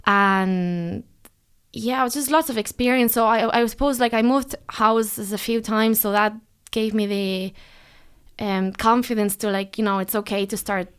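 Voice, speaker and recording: A woman from Spain in her thirties hosting a parenting podcast, chatting casually and laughing now and then, often trailing off into a softer voice. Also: mid-range voice, steady tone, a little slow, clear, resonant tone. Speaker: podcast host and business owner.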